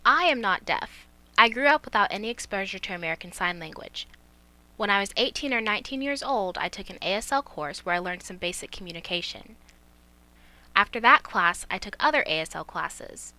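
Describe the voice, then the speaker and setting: Bright-toned woman voicing a signer. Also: mid-range voice, animated, a little fast, no echo, low-quality recording. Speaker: student interpreter. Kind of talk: voicing a signer's story in first person.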